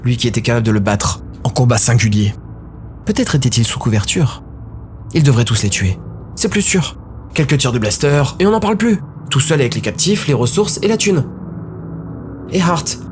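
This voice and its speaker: A man's voice: medium pitch, lively intonation, normal speed, a little hiss or hum. A man narrating a scripted story dramatically, in short, brooding, suspenseful phrases. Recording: music behind the voice.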